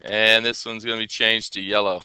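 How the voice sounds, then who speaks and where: A man's voice: fairly low pitch, little pitch movement, normal speed, bright, forceful tone, clean tone, no room sound, poor audio. A man teaching coding in a casual screen-share tutorial. He talks in a loose, chatty way with many fillers, and keeps checking in with his listeners.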